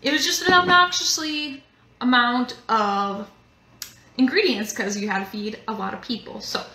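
A woman's voice: on the high side, moderate pace, sharp tone. A young woman hosting a casual live cooking demo from a home kitchen, chatty and rambling with lots of fillers. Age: young adult. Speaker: museum cooking show host. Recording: echoey kitchen with background noise.

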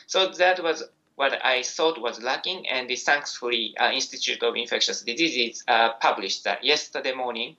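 A man with a Japanese accent, an infectious disease doctor answering questions at a press conference in a measured, explanatory way. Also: medium pitch, pushed and bright, dull audio.

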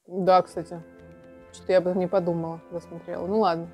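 A woman's voice: fairly low pitch, flat delivery, brisk, a little mellow, soft background music. A young adult woman chatting casually as she reacts to a video in a room at home. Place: small room at home with bookshelves.

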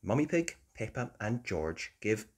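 Brief: female storybook reader and host, unhurried, expressive, hugely varied intonation for young children. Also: a bass voice. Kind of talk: expressive children's read-aloud narration.

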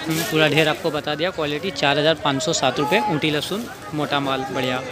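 A man's voice: a little high, steady tone, fast. A man reporting produce prices on the spot at a busy outdoor market, repeating each price as he films on a phone. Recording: loud crowd noise at a market. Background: loud crowd and vehicles.

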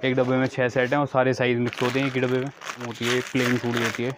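Shopkeeper, flat sales talk. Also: male voice, mid-range voice, quick pace, background noise. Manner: matter-of-fact, repeats prices and details. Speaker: bangle wholesaler, shopkeeper. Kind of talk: product showcase sales talk. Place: small packed wholesale bangle shop, indoors. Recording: busy shop chatter behind the voice.

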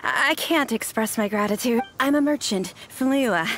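A woman's voice: a little high, expressive, moderate pace, a bit bright, a little hiss or hum. A woman playing a courteous, grateful young travelling merchant in an anime-style video game. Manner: courteous, earnest.

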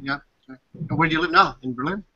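A man architect chatting casually on a video call, upbeat with quick asides. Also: on the high side, sharp tone, husky. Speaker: architect, interviewee. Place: open-plan office. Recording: muffled video-call audio.

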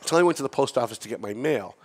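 A man's voice: mid-range voice, animated, racing, darkish tone, husky. Chatty fifty-something man hosting a podcast. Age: 50s. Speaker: podcast host. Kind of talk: casual interview chat. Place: TV-style studio set.

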